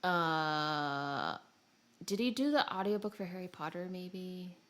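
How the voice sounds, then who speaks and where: Lady podcast cohost just chatting, voice a bit on the low side, informal. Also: slow pace, fairly bright tone. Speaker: podcast co-host. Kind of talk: casual chat.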